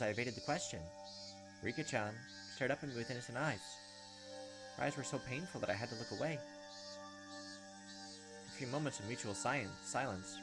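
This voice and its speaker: A man reading visual novel narration aloud as a gameplay host, breaking in with blunt, informal reactions. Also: mid-range voice. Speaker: let's play host. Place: indoors at a desk, webcam gameplay. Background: game music, insect sounds.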